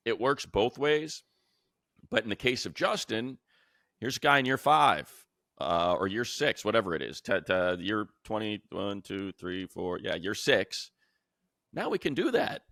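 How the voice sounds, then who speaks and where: A man with a low-pitched voice breaks down sports in an unscripted co-host's style. He leans on points emphatically, repeating phrases to stress them. The sound is close and dry. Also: a bit bright, dry recording. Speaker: sports show co-host and commentator. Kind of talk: unscripted sports talk and analysis.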